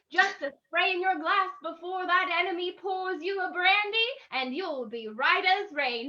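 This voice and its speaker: A woman's voice: very high voice, pushed and bright, highs cut off, full character voice. A woman playing a perky announcer reading links and mock sponsor spots in an old-time radio show.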